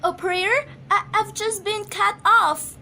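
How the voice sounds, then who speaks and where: A woman acts out a frail, imperious wife in a radio play, very high and piercingly bright. She stammers and frets, agitated and demanding. A faint noise sits on the amateur recording. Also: fairly even intonation, a little slow, vocal fry, exaggerated voice. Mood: horrified. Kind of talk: amateur radio drama acting.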